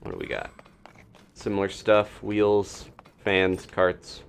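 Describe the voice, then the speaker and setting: Low-pitched, lively male game streamer. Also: slow, creaky, a little hiss or hum. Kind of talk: casual live gameplay commentary, thinking aloud.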